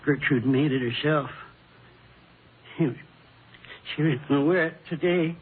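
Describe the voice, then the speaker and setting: A grieving old widower speaks in a fairly high voice, halting and polite, shaken and bewildered. It has the plain folksy manner of vintage radio drama, on a thin, noisy old recording. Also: male voice, narrow, tinny audio. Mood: anguished, bewildered. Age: over sixty-five. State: shaken with grief. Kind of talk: 1950s radio drama acting.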